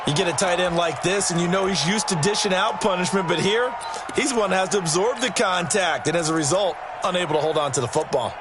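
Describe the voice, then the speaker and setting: A man's voice: sits high, fast talker, crowd noise, close to an everyday voice. A man playing an opinionated football color analyst in a video game, with an American accent and a conversational, explanatory, emphatic way of talking. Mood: analytical. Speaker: sports color commentator. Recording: very noisy game stream capture.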